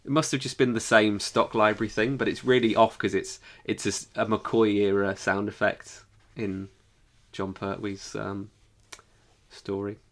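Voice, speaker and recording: A man with a fairly low, bright voice co-hosts a podcast. He speaks in a casual, informal, conversational way. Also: level tone, unhurried. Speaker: podcast co-host. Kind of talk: casual chat.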